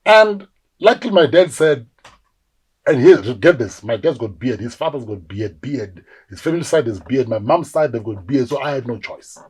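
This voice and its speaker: A South African man in his forties talks through his career in a relaxed interview. His voice is husky and mid-pitched. He tells his stories with energy and acts out the voices of the people he quotes. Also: moderate pace, low-quality recording. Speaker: actor guest. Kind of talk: casual interview storytelling.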